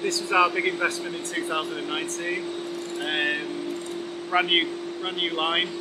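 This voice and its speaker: A man's voice: a little high, level tone, a little slow. A man in his thirties explaining a process as a guide inside a large working factory. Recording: music behind, factory hum.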